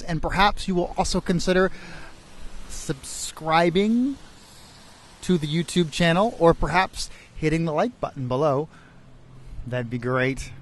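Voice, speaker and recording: A man telling a bedtime story as host, with deliberate phrasing and dramatic pauses. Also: on the high side.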